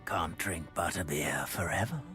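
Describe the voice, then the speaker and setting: An actor playing a menacing, disdainful wizard villain in a video game, with a sneering, deliberate delivery. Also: male voice, low voice, music in the background, natural voice. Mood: sneering contempt.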